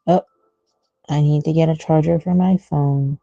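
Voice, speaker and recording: A woman with a very soft-edged, dark voice and a creaky fry talks through her art on a casual livestream. She muses aloud and lets thoughts trail off. The sound is close and dry. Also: sits low, fairly even intonation, measured pace, dry recording, muffled recording. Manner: musing, trailing off mid-thought. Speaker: artist streamer thinking aloud while drawing.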